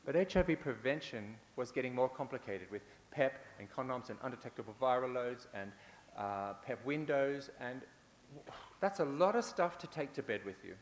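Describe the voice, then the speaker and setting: A man's voice: mid-range voice, lively intonation, normal speed. Man speaking in an echoey hall. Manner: repetitive, rhetorical, with fillers and restarts. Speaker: conference speaker. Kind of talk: conference talk. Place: large conference hall. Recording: echoey hall with faint hiss.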